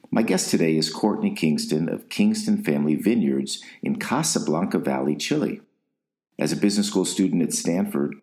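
Deep-voiced guy hosting a podcast, measured, chatty questions. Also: darkish tone. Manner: measured, reads sponsor copy then asks conversational questions. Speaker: podcast host. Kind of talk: interview podcast.

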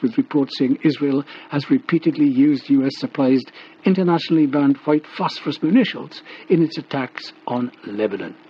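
A man's voice: mid-range voice, slight background noise. A man reading formal scripted news on the radio. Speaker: radio newsreader. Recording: dull, muffled shortwave radio recording.

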